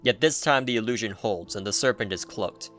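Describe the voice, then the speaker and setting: A man giving an intense, theatrical scripted narration of video game writing, with deliberate pauses. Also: fairly low pitch, a bit bright, faint music behind.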